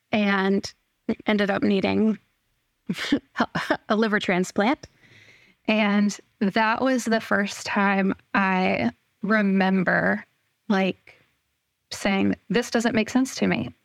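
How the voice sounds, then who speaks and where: A woman in her thirties, a podcast guest telling her personal story in a reflective, relaxed way. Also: mid-range voice, creaky, no room sound. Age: 30s.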